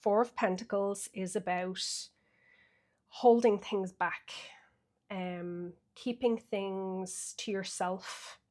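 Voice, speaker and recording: Unhurried Irish woman with a sniffly cold. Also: medium pitch, fairly even intonation, darkish tone. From Ireland. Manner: chatty, thinks aloud with fillers. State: getting over a cold, sniffly. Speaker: tarot reader. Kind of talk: weekly tarot reading.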